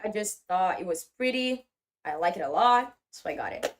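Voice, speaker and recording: Woman speaking halting English. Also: medium pitch, lively intonation. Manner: halting, searching for English words. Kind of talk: casual vlog talk.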